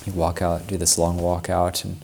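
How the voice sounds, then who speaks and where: A male Buddhist monk speaks in a very low, level voice that barely rises or falls. He gives a Dharma talk in an unhurried, reflective way, circling back and restarting his sentences as he recalls his own practice. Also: completely flat delivery, gravelly, darkish tone, slight background noise. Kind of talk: Dharma talk with personal anecdote.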